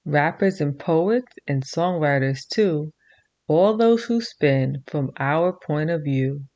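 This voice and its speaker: Woman host of a kids' show, low voice, unhurried rhythmic delivery. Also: dull audio. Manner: slow rhythmic rhyming read-aloud; bright sing-song teacher voice for kids. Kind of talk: reading a picture book aloud to kids.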